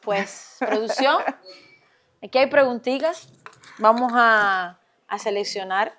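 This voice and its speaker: A woman hosting an interview, asking questions and reading out audience questions in a lively, playful way. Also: a little high, slow. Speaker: interviewer host.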